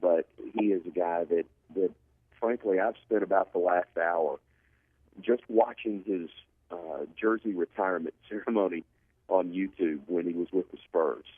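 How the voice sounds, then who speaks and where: Man, very low husky voice, sports commentator, emphatic repetition, thin phone-like sound. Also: normal speed, dry recording. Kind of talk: sports interview analysis. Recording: narrow phone-like line.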